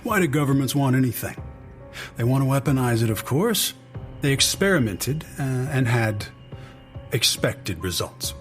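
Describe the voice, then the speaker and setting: An actor playing a weary, sardonic intelligence insider in an audio drama, explaining hesitantly and conversationally. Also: male voice, mid-range voice, lively intonation, moderate pace, soft background music, natural voice. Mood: wry, resigned. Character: weary, wry male intelligence insider briefing an agent. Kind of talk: naturalistic audio drama acting.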